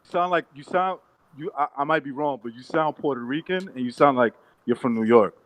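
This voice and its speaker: A man's voice: fairly high pitch, fairly quick, no room sound, rough recording. Teasing man, dry close sound. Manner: teasing, insistent. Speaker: podcast co-host. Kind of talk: casual podcast chat.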